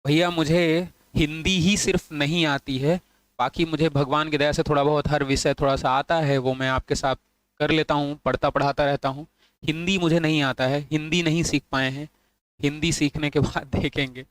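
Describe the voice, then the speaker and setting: A man's voice: fairly high pitch, steady tone, normal speed, dry recording. A man teaching an online exam-prep lesson, repeating points and asking and answering his own questions, with English phrases mixed into his Hindi.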